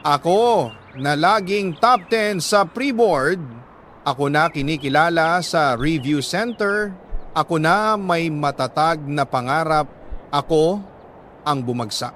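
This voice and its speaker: A man with a Filipino accent narrating a first-person story in an earnest, emotive way, with deliberate pauses between short phrases. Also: on the high side, expressive, fairly bright tone, a little hiss or hum. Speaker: radio drama narrator reading a letter sender's story.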